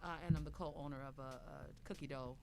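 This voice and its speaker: Creaky-voiced middle-aged woman. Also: sits low, steady tone, fairly quick, dark tone. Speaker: guest business owner. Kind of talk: casual panel chat.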